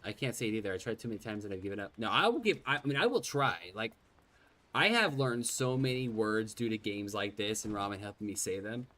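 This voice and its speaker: A man's voice: fairly low pitch, animated, fast, pushed and bright. Man with a slight lisp, rambling. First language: Gaelic. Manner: rambling, self-deprecating, swears casually. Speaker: streamer. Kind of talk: casual gameplay commentary. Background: faint game music.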